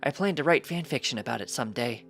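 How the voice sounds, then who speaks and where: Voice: mid-range voice, animated, fast talker, creaky, fairly bright tone, soft background music, voice pushed up. A narrator voicing a precocious young pony colt, earnest and cheeky, chatting eagerly in a matter-of-fact way for a story reading. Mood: bright, chatty.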